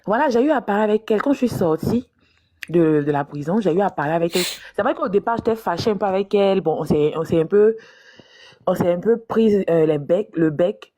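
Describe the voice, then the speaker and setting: A woman's voice: mid-range voice, animated, brisk, mellow. A woman guest on a live talk show, telling her story hesitantly with frequent restarts and repetitions. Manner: hesitant, restarts and repeats herself. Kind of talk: live-stream interview testimony.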